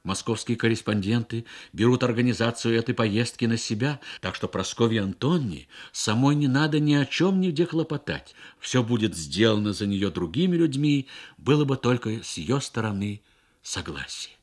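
A man with a husky, mid-pitched voice narrates a literary story in the manner of a Soviet radio reading. He goes phrase by phrase, expressively and with deliberate pauses. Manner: measured, expressive, pausing between short phrases. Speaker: reader of a radio short story.

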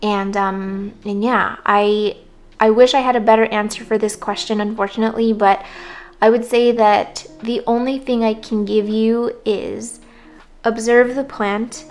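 A hoarse woman casually talking through plant care tips, relaxed and rambling. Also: fairly high pitch, unhurried. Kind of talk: casual voice-over talk.

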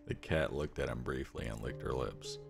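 A young man with an extremely low voice, thick with vocal fry, reads a visual novel aloud as he plays. He slips between story narration and casual streamer asides. Music plays clearly behind him. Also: moderate pace. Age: young adult. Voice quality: gravelly. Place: small room at home, indoors. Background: game music.

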